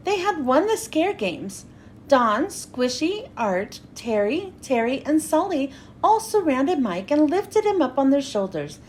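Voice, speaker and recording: A woman reading a children's storybook aloud as a read-aloud host, with expressive, clearly phrased storytelling. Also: high voice, a little slow, a little hiss or hum.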